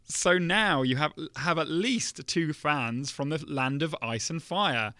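A man co-hosting a podcast in an upbeat, casual way, laughing easily and filling pauses with "uh" and "um". His voice is bright and crisp. The sound is close and dry, with no room around it. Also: on the high side, no room sound. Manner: upbeat, laughs often, many fillers. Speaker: podcast co-host. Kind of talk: casual podcast chat, reading listener emails.

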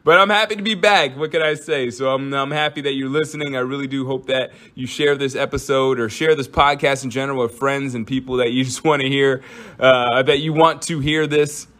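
A man's voice: mid-range voice, steady tone, a little fast, fairly bright tone. A man hosting his own podcast, chatting casually and rambling with lots of fillers and jokes. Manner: rambling, joking, frequent fillers and restarts. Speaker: podcast host. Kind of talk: casual solo podcast chat.

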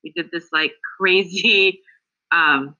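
A woman's voice: lowish voice, slow, bright, forceful tone. A woman from Alaska casually sharing personal stories as a guest, heard in a dull, lo-fi recording.

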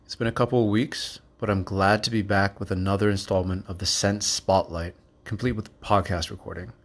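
A man with a very low, deep voice hosting a podcast. He opens with a steady scripted intro, then shifts into relaxed, friendly questions for his guest. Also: fairly quick. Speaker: podcast host. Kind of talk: podcast interview.

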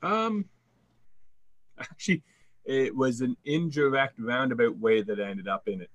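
A man's voice: mid-range voice, measured pace. A man with a Canadian accent, a sales coach answering interview questions in a confident, fluent storytelling way.

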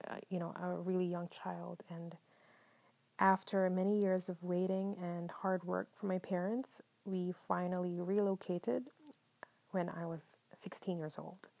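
Soft-spoken woman with a slightly gravelly voice. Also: lowish voice, a little slow, dry recording. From Philippines. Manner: calm, mellow, partly whispered, many ums. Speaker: podcast host. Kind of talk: soft-spoken solo podcast monologue.

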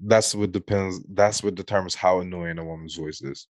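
American male podcast co-host, low register, dry close sound, opinionated and slangy. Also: fairly even intonation, normal speed, no room sound. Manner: opinionated, colloquial, mildly profane. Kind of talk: casual unscripted chat.

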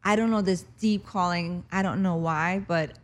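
A woman's voice: lowish voice, steady tone, normal speed. A woman hosting a podcast in casual, conversational style. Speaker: podcast host. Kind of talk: casual chat.

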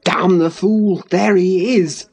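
A woman's voice: deep voice, slow, a little mellow, soft background music. A woman voicing a passionate, desperate man in a dramatized reading of a classic novel, with fervent delivery. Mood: alarmed, cursing. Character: passionate, anguished man, a desperate brooding lover.

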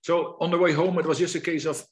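A man's voice: a little high, fairly even intonation, fast. A middle-aged man from the Netherlands with a Dutch accent, talking reflectively as an interview guest, often restarting his sentences. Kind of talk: conversational interview.

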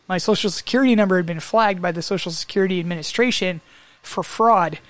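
Chatty male co-host, lively anecdotes, dry close sound. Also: high-pitched, fast talker, no echo. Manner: animated storyteller, laughs often. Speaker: podcast co-host. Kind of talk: casual chat.

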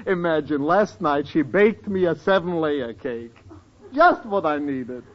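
An actor playing a genial older professor neighbor with courtly, teasing deadpan in a 1940s radio sitcom. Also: male voice, a little high, unhurried, a crowd in the background. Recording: dull noisy old radio recording, audience.

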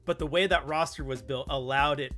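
A man's voice: fairly high pitch, steady tone, brisk, fairly bright tone, soft background music. A man in a casual sports podcast discussion, emphatic and opinionated, repeating points for stress. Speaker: podcast co-host and basketball analyst.

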